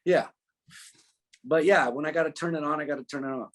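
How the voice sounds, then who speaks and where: A man in a casual interview, telling stories as a musician guest in an animated, unpolished way. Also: on the high side, fairly quick. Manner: animated storytelling, frequent profanity and filler phrases.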